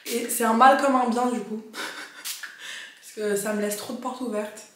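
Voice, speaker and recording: A young woman vlogging as an au pair, chatting in a lively, slangy way. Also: on the high side, measured pace, background noise. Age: young adult. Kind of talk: casual chat. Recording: very echoey room with music.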